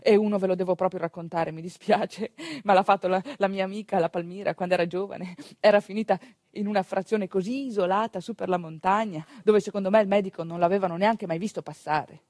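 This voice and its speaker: Female stage storyteller, played by an actress, medium pitch, dramatic pauses, emphatic monologue delivery, dry acoustic. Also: steady tone, a little mellow, dry recording, close to an everyday voice. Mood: confiding, earnest. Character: female storyteller recalling a rural midwife's work.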